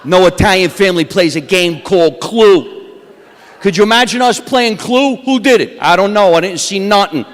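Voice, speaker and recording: A stand-up comedian with a New Jersey accent, delivering a deadpan wisecracking set onstage. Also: male voice, sits high, normal speed. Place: comedy awards stage. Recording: long hall reverb from a stage.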